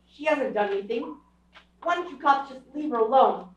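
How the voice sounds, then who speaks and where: Acted stubborn proud kid, high voice, blunt, echoey stage recording from far off. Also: lively intonation, moderate pace, muffled recording. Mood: defiant. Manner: blunt, insistent. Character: defiant, proud young boy protecting his siblings. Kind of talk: stage radio drama acting. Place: small theatre stage, recorded from audience camera.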